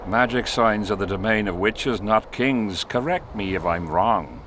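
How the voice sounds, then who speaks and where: A man's voice: lowish voice, measured pace, a bit bright, slight background noise. An actor playing a crude, arrogant king in a video game, blunt, scornful and commanding. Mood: haughty, skeptical. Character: crude, arrogant, imperious male warrior king.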